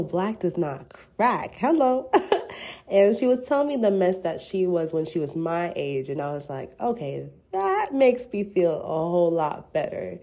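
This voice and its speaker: A woman's voice: deep voice, warm tone. A woman in her twenties hosting a casual solo podcast, playful and slangy, sounding congested from a cold. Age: 20s. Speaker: podcast host.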